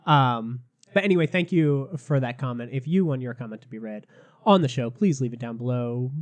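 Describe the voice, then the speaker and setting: A man hosting a casual gaming podcast, a little sick and raspy, chatty and loose, laughing often. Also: mid-range voice. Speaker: podcast host.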